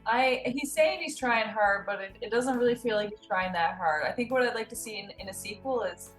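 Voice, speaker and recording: A woman's voice: on the high side, fairly quick, reverberant, soft background music, poor audio. A woman giving her opinion casually as a guest on a comedy show.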